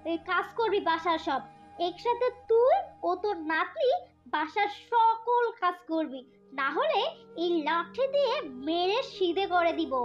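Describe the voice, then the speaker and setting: A woman's voice: very high-pitched, expressive, measured pace. A woman voicing a cruel, scheming young stepmother in a fairy-tale cartoon, with a bullying, scolding manner. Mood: furious, bullying. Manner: scolding, contemptuous rhetorical questions. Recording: dull lo-fi cartoon track with music.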